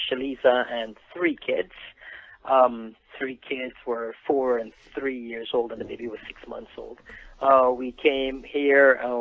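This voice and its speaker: Phone-line sound, middle-aged man, Guyanese accent, rough gravelly voice, conversational personal storytelling. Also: medium pitch, dry recording. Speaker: interview guest, print business owner. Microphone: phone call.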